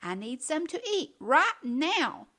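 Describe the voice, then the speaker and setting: A woman acts out a pushy little witch girl from a storybook. The voice is high and swoops widely. She is insistent and exclamatory, with a mysterious air. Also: big pitch swings, slow, sharp tone, heavily acted. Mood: bossy chanting.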